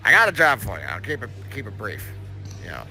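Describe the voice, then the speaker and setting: A man puts on a big-shelled male crab alien broker with a Southern drawl, tripping over his own words as he pitches a job. His voice sits low and pushes loud, and music plays underneath. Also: wildly swooping pitch, quick pace, heavily acted, poor audio. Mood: rambling. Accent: Southern US, as the figure. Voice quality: stammering, talks in tangled restarts.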